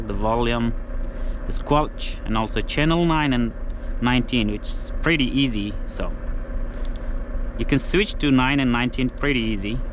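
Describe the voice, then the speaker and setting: A man talking casually and haltingly, thinking aloud as he gives a hands-on product demo. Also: medium pitch, fairly bright tone, slight background noise. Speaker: trucker reviewing gear.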